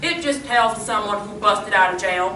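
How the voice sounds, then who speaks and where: A woman's voice: medium pitch, normal speed, natural voice. A woman playing an earnest telegraph messenger in an old-time radio western. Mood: urgent, earnest. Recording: echoey room from far off, faint noise.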